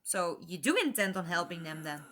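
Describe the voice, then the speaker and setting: A woman's voice: lowish voice, big pitch swings, brisk, fairly bright tone. A woman playing an earnest time traveller's companion in an audio drama, probing with concern and challenge. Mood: challenging.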